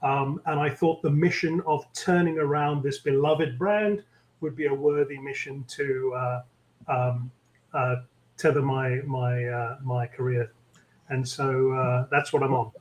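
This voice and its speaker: A middle-aged man with a London English accent, talking through his career in an interview, measured and full of ums. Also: mid-range voice, flat delivery, reverberant, rough recording. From England. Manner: measured, many ums and uhs. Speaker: guest, tech executive. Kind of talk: interview conversation.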